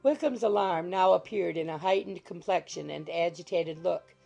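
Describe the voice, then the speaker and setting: Very creaky-voiced woman narrating. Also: on the low side, moderate pace, dark tone, dull audio. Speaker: audiobook narrator. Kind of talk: scripted literary narration. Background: faint music.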